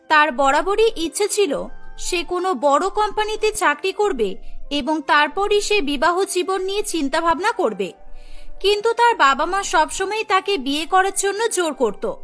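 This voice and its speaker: A woman's voice: very high voice, fairly bright tone. A woman narrating a scripted cartoon story as a storyteller, over music.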